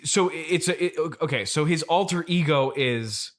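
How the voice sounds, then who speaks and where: A man's voice: on the high side, moderate pace. A man interviewing in a casual comedy chat, speaking in a hesitant, diplomatic way.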